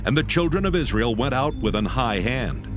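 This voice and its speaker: A man narrating a dramatized Bible in a solemn, measured, scripted style. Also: mid-range voice, expressive. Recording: loud music under the narration. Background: loud music.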